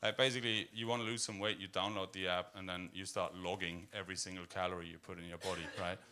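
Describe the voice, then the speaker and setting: A man with an Austrian accent doing a stand-up set in a deadpan, darkly humorous way, full of fillers and hesitations. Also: deep voice, fast talker, clean tone. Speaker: stand-up comedian. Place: comedy club stage.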